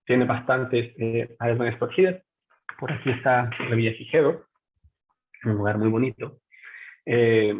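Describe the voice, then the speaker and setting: A man, a marine researcher, giving an online academic presentation from home in a measured, explanatory way, pausing to find his words. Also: medium pitch. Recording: tinny phone-like webinar audio.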